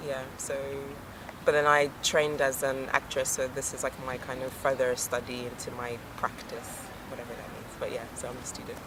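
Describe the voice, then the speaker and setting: Low-voiced young woman from Ghana. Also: little pitch movement, moderate pace. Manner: chatty, laughs often, trails off. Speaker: interviewee, drama student. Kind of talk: casual street interview chat. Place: outdoors on a busy city street. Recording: street traffic noise behind.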